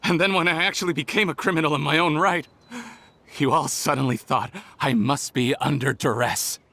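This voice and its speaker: A man's voice: fairly high pitch, fairly even intonation, normal speed, pushed and bright. An actor playing a bitter, defiant male criminal who speaks in a scornful, sarcastic way. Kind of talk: video game voice acting.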